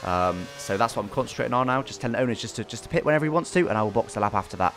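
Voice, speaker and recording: A man's voice: medium pitch, lively intonation, racing, over music. A man giving casual, explanatory commentary over his own racing game race, recapping as he goes.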